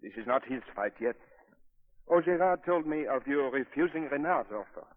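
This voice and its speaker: A man's voice: mid-range voice, lively intonation, lightly acted. An actor playing an earnest, dignified resistance man, speaking formally in 1940s radio drama style. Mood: grave. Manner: measured, formal, solemn. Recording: muffled, band-limited 1940s radio recording.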